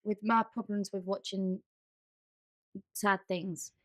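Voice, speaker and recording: A woman's voice: mid-range voice, steady tone, slow, no echo. A woman co-hosting a podcast in casual chat, speaking informally and with emphasis. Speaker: podcast co-host.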